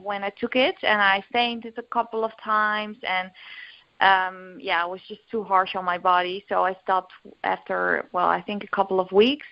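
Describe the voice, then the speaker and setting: A woman's voice: medium pitch, dry recording. A young woman with a Dutch accent, a podcast guest talking openly with many fillers and restarts. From Netherlands. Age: young adult. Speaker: guest, recovery coach. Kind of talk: podcast interview. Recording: dull lo-fi audio.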